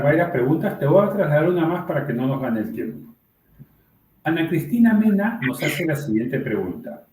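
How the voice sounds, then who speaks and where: A man hosts an online conference with formal, polite phrasing. His pitch moves in a lively way over a mellow tone, and the sound is roomy, as if he is away from the microphone. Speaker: event moderator. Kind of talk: hosting an online conference, reading audience questions. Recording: roomy echo, distant microphone.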